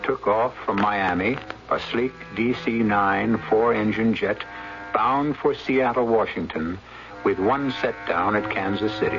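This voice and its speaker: A man hosting and narrating a radio mystery in a measured, dramatic, scripted style, as an ominous storyteller. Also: fairly low pitch. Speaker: host and narrator. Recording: dull old radio broadcast recording.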